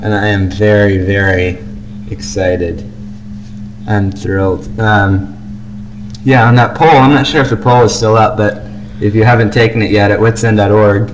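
A man's voice: fairly low pitch, steady tone, a little slow, muffled recording. A man co-hosting a casual fan podcast, talking about things he likes with effusive enthusiasm. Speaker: podcast co-host. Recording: noisy amateur podcast recording.

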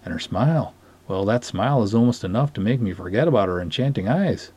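Man playing pompous, lovestruck lake cop, husky. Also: on the low side, animated, a little mellow. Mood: enchanted. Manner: pompous, florid, deliberate storytelling. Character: verbose, lovestruck, dignified male lake patrol cop. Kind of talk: dramatic short-story reading.

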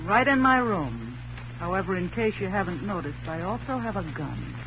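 An actress playing a defiant, hard-boiled woman with sharp retorts in a 1940s radio crime drama. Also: fairly low pitch, big pitch swings, normal speed, slight background noise. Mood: defiant, threatening. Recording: muffled, humming old radio recording.

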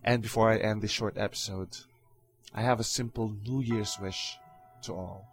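A man hosting his own podcast, talking calmly and earnestly in a reflective solo monologue with soft fillers. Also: on the low side, moderate pace, soft background music. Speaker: podcast host.

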